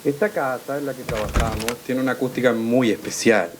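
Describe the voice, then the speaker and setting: An actor playing a courteous, self-important entrepreneur in an audio drama, formal and deliberate. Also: male voice, medium pitch, noisy background, close to an everyday voice. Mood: smooth, courteous. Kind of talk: naturalistic audio drama acting.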